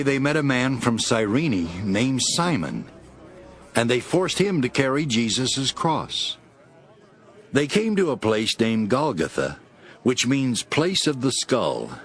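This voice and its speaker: A man narrating a dramatized Bible as expressive, scripted storytelling. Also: mid-range voice. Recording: music behind.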